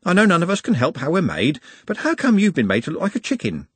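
Man playing a chatty high-voiced motherly woman. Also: huge pitch swings, quick pace, breathy edge, dry recording, full character voice. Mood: kindly, nosy. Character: chatty, kindly, unflappable woman passenger.

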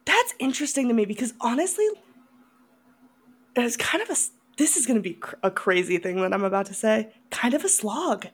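A woman's voice: a little high, sing-song, a little fast, husky. A woman co-hosting a podcast in casual film chat, talking in a blunt, opinionated, giggly way. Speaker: podcast co-host.

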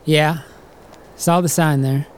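A man's voice: on the high side, fairly even intonation, slow pace, slight background noise. An actor playing a taciturn, guarded farm man of the 1890s in a period drama, speaking tersely and hesitantly. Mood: curt, guarded. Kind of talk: period film drama acting.

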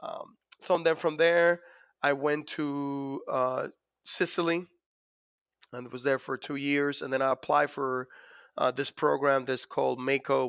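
Dominican-accented man, smooth and halting. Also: medium pitch, fairly bright tone, no echo. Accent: Dominican Spanish. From Dominican Republic. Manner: halting, many fillers and restarts. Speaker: guest, Navy master chief. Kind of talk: casual interview.

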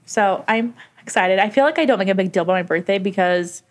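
A woman in her twenties co-hosting a podcast, chatting casually and rambling with quick asides. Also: mid-range voice. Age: 20s. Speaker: podcast co-host. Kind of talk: casual chat.

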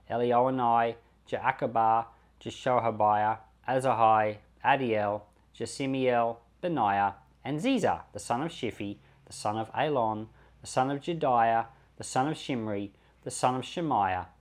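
A man reads scripture aloud in a mid-pitched voice with lively rises and falls. His delivery is measured and deliberate, setting out each phrase and name separately, as a pastor would on a podcast.

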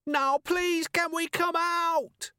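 A man's voice: very high voice, fairly even intonation, takes it slowly, pushed and bright, dry recording, clean studio sound, voice pushed up, exaggerated voice. A man voicing a desperate robber in an audiobook, whining and pleading in a frantic manner. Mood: panicked. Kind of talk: audiobook character voice.

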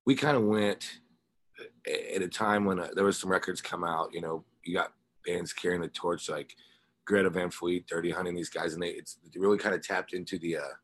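Male interview guest, American, low voice with a slightly rough edge, loose informal musician talk. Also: steady tone, a little fast, a bit bright, no echo. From Ozarks, United States. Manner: rambling, informal, slangy. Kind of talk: casual interview chat.

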